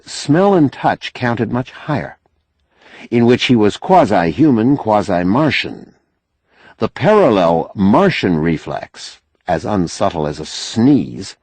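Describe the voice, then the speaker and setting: Guy reading a novel, deep-ish voice, slow measured storytelling, very dry close sound. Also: a little mellow, dry recording. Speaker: audiobook narrator. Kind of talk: scripted literary narration.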